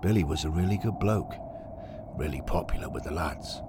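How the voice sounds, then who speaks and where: A man's voice: low-pitched, moderate pace, noisy background, lightly acted. A man voicing a plain-spoken, sincere and loyal former footballer in an audio story. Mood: earnest.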